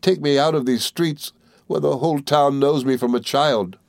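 A man's voice: mid-range voice, normal speed, dry recording, voice pushed up, lightly acted. A man voicing a shamed, wretched young woman in a storybook, faltering and desperate to escape. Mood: shamed desperation.

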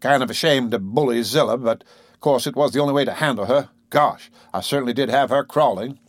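A man reading an audiobook voices a blustering middle-aged businessman who lectures and brags in a loud, hectoring way. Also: mid-range voice, a little fast, dry recording, studio quality, lightly acted. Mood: boastful.

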